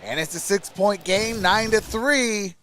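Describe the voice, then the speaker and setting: A man's voice: sits high, a little slow, a bit bright, slight background noise. A man hosting a sports livestream, casually mixing play-by-play with informal, chatty replies to viewers. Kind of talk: casual live play-by-play and chat.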